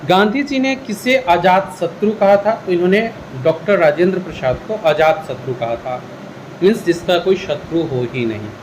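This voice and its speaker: A man with a high-pitched voice teaches in a question-and-answer style. He explains each answer and drops in English words as he goes. A steady air-conditioning hiss sits faintly behind him. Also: moderate pace, low-quality recording. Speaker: exam-prep history teacher.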